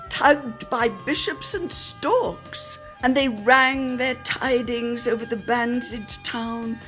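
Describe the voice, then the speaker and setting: A woman's voice: fairly high pitch, a bit bright. A woman giving a dramatic, theatrical reading of a poetic literary memoir, drawing out phrases for emphasis. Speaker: solo reader.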